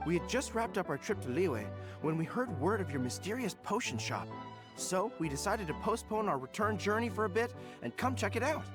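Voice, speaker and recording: A man voicing an earnest, formal young general who gets easily flustered, in a video game. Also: high-pitched. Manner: formal military phrasing with flustered stammers. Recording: background music under voice.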